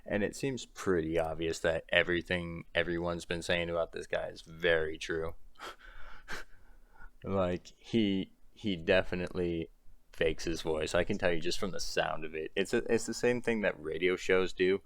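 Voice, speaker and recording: A young man talks through a rambling, exasperated rant, his very low voice worn rough in the throat. The sound is close and dry with no room around it. He keeps breaking into short laughs between his complaints. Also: steady tone, normal speed, no room sound. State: strained throat. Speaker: commentary creator. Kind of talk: ranting commentary.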